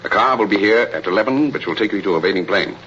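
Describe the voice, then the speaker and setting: An actor playing a grave, devoted spy who poses as a military colonel, speaking in measured 1930s radio melodrama style. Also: male voice, fairly low pitch, expressive, a bit bright. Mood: commanding. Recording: muffled, noisy old radio broadcast.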